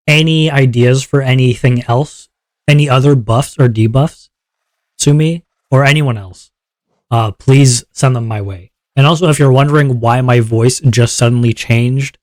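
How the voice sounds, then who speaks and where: A male streamer talks his chat through the event rules in a loose, chatty way. His voice sits at a middle pitch. The sound is close and dry, with no room around it. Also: unhurried, pauses often, dry recording. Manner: upbeat, chatty, checks in with chat often; relaxed lower voice after a viewer redeem. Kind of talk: casual livestream chat explaining rules.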